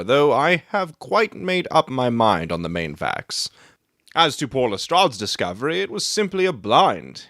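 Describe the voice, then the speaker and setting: A man acting a low-voiced, self-assured brilliant detective. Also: a bit bright, dry recording, clean studio sound. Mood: assured, confiding. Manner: lecturing, matter-of-fact, self-satisfied. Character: brilliant, self-assured adult male detective, precise and proud. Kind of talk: dramatic audiobook reading.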